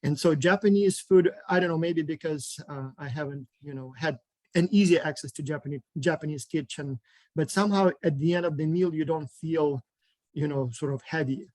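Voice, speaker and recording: A man's voice: fairly high pitch, moderate pace, a little mellow, no echo. A man from Ukraine chatting casually on a video call, hesitant with many ums and restarts. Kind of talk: casual online group chat.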